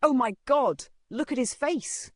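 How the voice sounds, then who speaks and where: A narrator voicing a loud, outraged and protective mother in a dramatized story. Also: high voice, measured pace, dry recording, clean studio sound, pitched above the performer's own voice. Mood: alarmed. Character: furious protective mother of a schoolboy.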